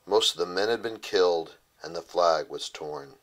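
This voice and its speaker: A man's voice: sits low, moderate pace, fairly bright tone. A man narrating a rhyming children's bedtime story as its author.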